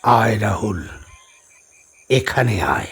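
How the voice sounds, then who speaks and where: A man voicing an ominous, commanding old holy man with a husky voice and slow, drawn-out delivery, in a horror audio drama. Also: fairly low pitch, lively intonation, exaggerated voice. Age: older. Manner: slow, drawn-out, menacing chanting and speech.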